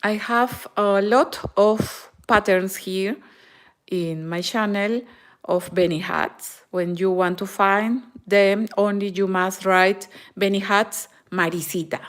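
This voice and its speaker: A Peruvian woman with a Peruvian Spanish accent, hosting a live crochet tutorial in slow, halting English, often switching into Spanish. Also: mid-range voice, fairly bright tone. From Peru. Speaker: crochet channel host. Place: home in Germany.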